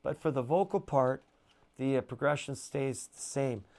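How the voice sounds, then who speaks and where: A man with a low voice giving a casual guitar lesson, relaxed and chatty, with plenty of fillers. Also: moderate pace. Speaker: guitar teacher.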